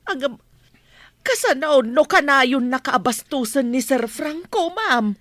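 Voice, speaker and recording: Protective scolding nanny, high voice, dry close sound, ilocano filipino accent, radio drama. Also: female voice, expressive, slow, fairly bright tone, no echo, heavily acted. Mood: advising. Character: older household nanny, loyal, protective, scolding.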